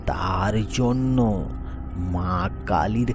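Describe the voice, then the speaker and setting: A man acts a menacing old grandfather villain in a cartoon. He speaks extremely slowly, drawing out ominous words with lively swings in pitch, over clear background music. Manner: slow, drawn-out, ominous storytelling. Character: sinister old man, secretly the villain dragon, slow storyteller. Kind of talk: cartoon story voice acting. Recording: music bed behind the voice.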